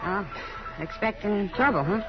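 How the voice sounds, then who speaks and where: A woman's voice: mid-range voice, lively intonation, normal speed, close to an everyday voice. An American actress playing a worldly, friendly frontier saloon woman in a 1950s radio Western, with a familiar, easygoing way of talking. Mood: teasing, relaxed. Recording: muffled old radio recording with music.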